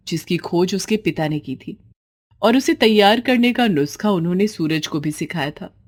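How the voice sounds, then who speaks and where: A woman narrating a scripted story as its storyteller. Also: medium pitch.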